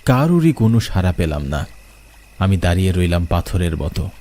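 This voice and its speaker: A man's voice: low voice, big pitch swings, normal speed, warm tone. A man narrating a first-person horror story in a dramatic, suspenseful way. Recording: music bed under the voice.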